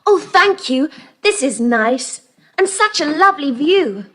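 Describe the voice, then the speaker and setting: An actress playing an eager, polite young schoolgirl adventurer in an audiobook drama, excitable and sweet-mannered. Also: high-pitched, unhurried, exaggerated voice. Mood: delighted, friendly.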